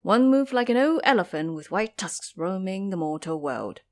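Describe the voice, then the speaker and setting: A woman's voice: medium pitch, expressive, normal speed. A woman narrating a scripted fantasy story in a dramatic storytelling style.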